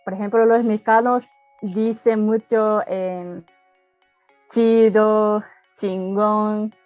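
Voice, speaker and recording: A woman who is not a native Spanish speaker, answering interview questions carefully with many pauses and fillers. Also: medium pitch, steady tone, a little mellow, no echo, highs cut off, faint music behind. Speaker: guest, language learner.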